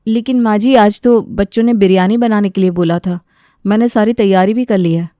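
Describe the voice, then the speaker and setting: A woman's voice: medium pitch, brisk, warm tone, no room sound, studio quality, natural voice. A woman playing a patient, weary elder daughter-in-law, respectful but aggrieved, in a family story.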